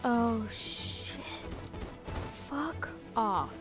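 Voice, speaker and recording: A woman gamer with a fairly high voice talks slowly through her play. Her commentary is casual, reactive and sweary, over clear game music. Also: animated. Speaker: gamer, video creator. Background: video game music and sounds.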